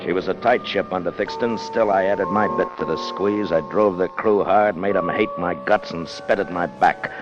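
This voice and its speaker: Man acting a hard low-voiced ship's officer, old radio. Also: moderate pace, hardly any pauses, lightly acted. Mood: brooding, grim. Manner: clipped, tough, commanding. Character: hard, cunning, distrustful first officer on a whaling ship. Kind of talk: 1950s radio drama acting, first-person narration. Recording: muffled old radio recording with music.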